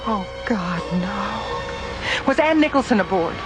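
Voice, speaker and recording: Tense-situation space officer in a radio drama, urgent, middle pitch, music under. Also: female voice, lively intonation, slow pace. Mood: alarmed. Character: urgent, anxious female space station officer. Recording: music behind dialogue.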